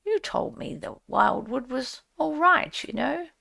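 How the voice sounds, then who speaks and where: A woman's voice: sits high, expressive, unhurried, vocal fry, bright, forceful tone, heavily acted. A woman voicing an eager, naive male mole in a storybook, coaxing and hopeful.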